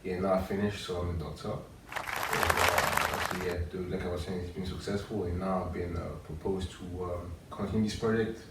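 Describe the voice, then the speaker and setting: French-accented male guest, very deep monotone, plain measured telling, distant roomy mic with faint hiss. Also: a loud crowd. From France. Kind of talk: interview storytelling.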